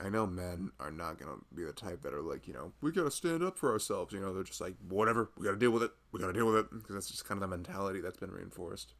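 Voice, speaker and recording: A man's voice: deep voice, gravelly, fairly bright tone. A man playing a loving husband who rambles tenderly and a little anxiously in an intimate, conversational ASMR roleplay. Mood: musing, rambling. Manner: rambling, hesitant, conversational, frequent "you know". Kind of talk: intimate ASMR boyfriend roleplay, improvised-sounding chat.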